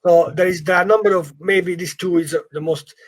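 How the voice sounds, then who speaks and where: A man's voice: sits high, steady tone, fairly quick. A man who speaks English as a second language, coaching informally in an emphatic, encouraging way and often repeating phrases. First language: not English. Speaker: property investment coach. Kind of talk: informal live training session.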